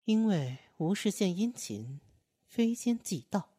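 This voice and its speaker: Woman acting a cold, mellow-voiced proud opera star. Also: medium pitch, big pitch swings, takes it slowly, no echo, clean studio sound. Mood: icy, proud. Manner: cold, curt, haughty. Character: proud, aloof opera performer and strict master. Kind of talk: radio drama acting.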